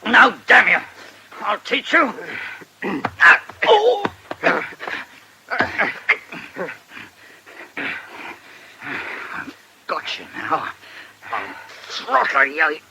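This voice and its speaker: An actor playing a drunken, bullying blackmailer in a radio drama, wheedling and threatening with slurred, repetitive bluster. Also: male voice, high voice, wildly swooping pitch, very slow, gravelly, long pauses, heavy background noise, full character voice. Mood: furious. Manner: slurred bluster, repeating words.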